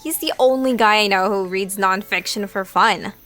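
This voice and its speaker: A woman's voice: mid-range voice, normal speed, fairly bright tone, close to an everyday voice. A woman playing a curious, exasperated young narrator in an audio drama, chatting informally and animatedly. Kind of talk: conversational audio drama dialogue.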